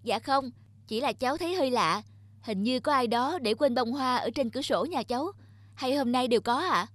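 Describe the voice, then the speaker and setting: A woman's voice: sits high, normal speed, no room sound. A woman voicing a polite, curious and caring young woman in a cartoon. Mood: polite, puzzled. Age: young adult. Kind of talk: cartoon voice acting.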